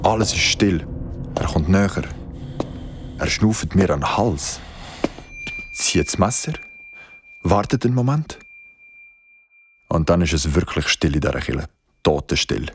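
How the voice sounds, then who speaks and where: A man's voice: low voice, lively intonation, measured pace, long pauses, noisy background. An actor playing a shaken man from the city who tells a horror story in an audio drama, with a Swiss accent. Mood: tense, hushed. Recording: dramatic music behind.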